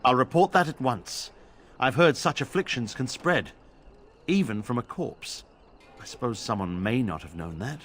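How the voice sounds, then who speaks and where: An actor playing a grave, concerned official investigator in a fantasy game, measured and pondering. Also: male voice, medium pitch, expressive, fairly bright tone. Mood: serious, pondering.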